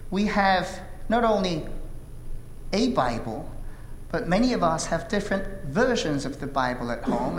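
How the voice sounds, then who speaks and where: A man in his thirties from South Korea, whose first language is Korean, preaching a sermon with an earnest, measured delivery and frequent "uh" fillers. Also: on the high side. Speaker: pastor. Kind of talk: sermon with opening prayer. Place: church sanctuary. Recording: echoey hall reverb, faint room noise.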